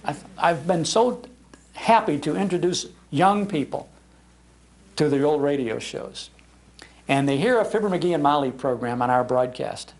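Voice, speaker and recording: An older American man giving an informal, anecdotal public talk in an animated, conversational manner. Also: a little high, a little slow. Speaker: speaker on old-time radio history, broadcaster. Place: public library talk before an audience.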